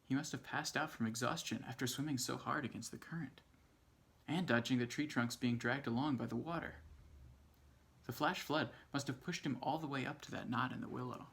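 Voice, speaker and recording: A man reading a children's story aloud as an expressive narrator. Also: mid-range voice, fairly quick, creaky. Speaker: storybook reader.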